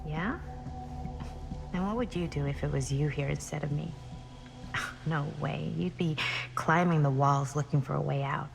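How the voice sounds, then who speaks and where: An actress playing a grieving, searching young American woman in a video game cutscene, with a husky, creaky, breathy voice and halting delivery. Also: low-pitched, over music. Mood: wry, musing. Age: young adult. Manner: hesitant, halting speech. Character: grieving, searching young woman who misses her mother.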